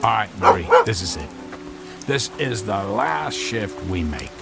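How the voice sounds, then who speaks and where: An actor in a radio drama playing an anxious, conscience-stricken husband, hesitant, earnest and pleading. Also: male voice, fairly low pitch, sing-song, measured pace, gravelly, loud music behind the voice, heavily acted. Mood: grim, resigned.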